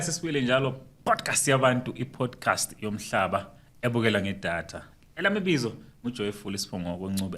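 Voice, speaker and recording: A man hosting a podcast in a relaxed, chatty way, mixing Ndebele and English. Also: fairly low pitch. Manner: relaxed, chatty, laughs easily. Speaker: podcast host.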